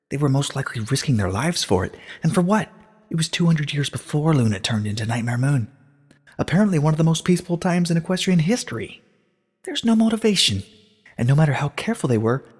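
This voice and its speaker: A man's voice: a little high, husky, voice pushed up. A man puts on an earnest, bookish young pony princess for a storybook reading. The voice is lifted well above his own, urgent and insistent, full of questions. It rings with a long reverb. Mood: puzzled, pleading. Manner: urgent, questioning, stammering at times. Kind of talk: dramatic reading of a short story.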